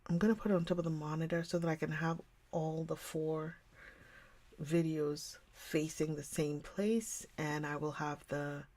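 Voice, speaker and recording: A woman hosting a livestream, chatting casually with viewers in a rambling way and often dropping into a soft whisper. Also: low voice, fairly even intonation, moderate pace. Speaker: livestream host reading books. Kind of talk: casual chat with live viewers.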